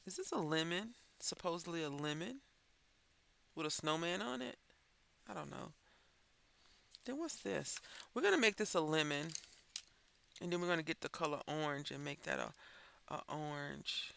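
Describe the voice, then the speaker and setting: A man's voice: a little high, animated, normal speed, gravelly, pauses often, bright, forceful tone, clean tone. A man chatting while coloring as host of a crafting channel, rambling and thinking aloud, sounding stuffy and congested. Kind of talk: casual chat while coloring.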